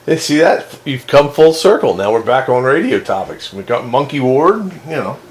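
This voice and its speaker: A man's voice: medium pitch, very animated, measured pace. A man chatting casually as a radio hobbyist. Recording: clearly noisy radio-chat recording.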